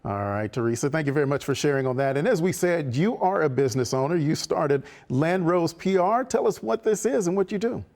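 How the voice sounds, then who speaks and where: A male TV host with a slightly high voice asks questions in a polished, friendly way. He speaks like a seasoned broadcaster. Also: brisk, few pauses, a little mellow. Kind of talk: TV interview. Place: TV studio.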